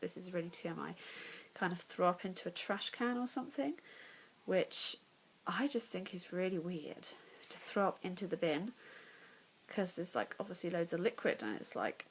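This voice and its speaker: Congested English woman chatting softly. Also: on the low side, animated, normal speed, slight background noise, low-quality recording. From England. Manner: chatty, candid, often drops to a whisper. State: congested, nasal. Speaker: vlogger. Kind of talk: casual pregnancy vlog. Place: home, in the evening.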